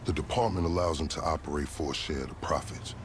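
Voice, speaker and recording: A man's voice: very deep voice, quick pace, noisy background. An actor playing a sly, eloquent corrupt police officer in a video game cutscene, smug and formal in his phrasing, with profanity. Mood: scheming, wry.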